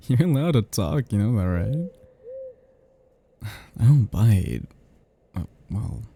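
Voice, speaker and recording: A man playing an ancient, flirtatious, possessive vampire in an intimate ASMR roleplay, speaking to the listener softly and huskily in a halting, teasing, casually menacing way. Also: fairly low pitch, big pitch swings, full character voice. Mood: friendly, teasing. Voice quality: soft, husky, gravelly.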